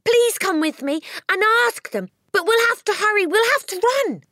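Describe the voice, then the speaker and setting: A woman reading an audiobook voices a spirited little girl who cries out in high, loud, urgent pleas. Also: animated, moderate pace, no room sound, studio quality, full character voice. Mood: pleading, eager.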